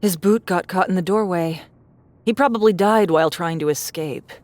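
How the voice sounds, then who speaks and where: A bold young woman explorer from a sci-fi audiobook, played by an actress. Her voice sits a little low, and she talks in a brisk, sardonic way, like someone used to taking the lead. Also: a little mellow, dry recording, close to an everyday voice. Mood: matter-of-fact, confident. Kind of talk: audiobook character acting.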